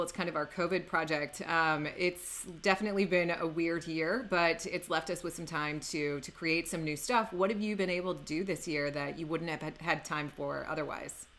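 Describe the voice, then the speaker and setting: A woman co-hosts a podcast with an upbeat manner. Her voice sits fairly low for a woman, and she laughs often. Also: fairly bright tone. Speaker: co-host. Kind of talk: podcast interview.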